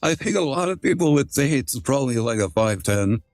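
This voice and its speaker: A man's voice: mid-range voice, animated, fairly quick, darkish tone, no room sound, clean studio sound. A man hosting a casual livestream, chatting informally with some swearing and often repeating his phrases. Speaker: livestream host.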